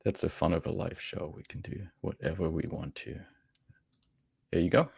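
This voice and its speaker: A man's voice: deep voice, moderate pace, vocal fry, a little mellow, slightly rough, no room sound, clean studio sound. A man hosting a tech interview in a casual, chatty style. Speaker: podcast host, tech editor.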